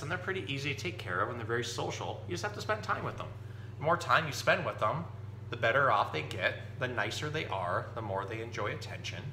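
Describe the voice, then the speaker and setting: A man's voice: mid-range voice, sharp tone. A man giving an informal, explanatory animal lesson and reading a picture book aloud for children. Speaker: zookeeper presenting animals and reading stories to children. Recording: roomy echo with background noise and hum.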